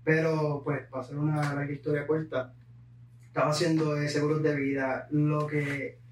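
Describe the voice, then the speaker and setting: A man from Puerto Rico with a Puerto Rican accent, a podcast guest telling his life story in an unhurried way, slipping English words into his Spanish. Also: on the high side, flat delivery, echoey room, distant mic, a little hiss or hum. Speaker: guest entrepreneur. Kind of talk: conversational storytelling.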